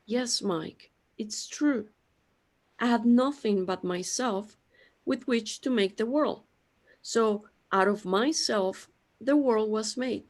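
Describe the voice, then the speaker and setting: Woman doing a sorry-for-itself creator god, slow n plaintive, highish voice, radio play style. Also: darkish tone. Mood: solemn. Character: lonely, self-pitying immortal creator god, the Bornless One. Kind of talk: scripted audio drama dialogue.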